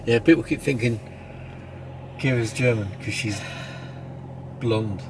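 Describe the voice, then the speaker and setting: A man from Britain rides along in a car and talks about the streets outside. He cracks jokes and teases the driver in an everyday mid-range voice. Car noise runs clearly under the recording. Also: mid-range voice, a little slow. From United Kingdom. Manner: joking, teasing. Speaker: vlogger. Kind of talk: casual travel vlog chat. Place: in a car, passenger seat.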